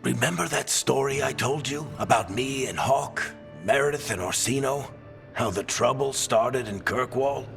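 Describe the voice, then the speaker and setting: An actor playing a weathered middle-aged storyteller companion in a video game, speaking with dramatic, grave weight. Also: male voice, medium pitch, normal speed, vocal fry, a bit bright. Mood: urgent. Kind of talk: video game dialogue and narration. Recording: dramatic music behind. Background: music.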